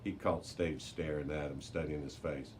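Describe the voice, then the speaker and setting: Low-voiced man reading a children's story. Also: steady tone, normal speed. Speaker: library story reader. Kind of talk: children's audiobook narration, read aloud. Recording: noticeable background noise.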